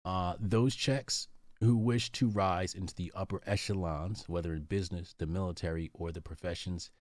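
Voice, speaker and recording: A man's voice: low voice, dry recording, studio quality. An American man reading a book aloud and commenting on it, stopping often for ums, uhs and restarts. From United States.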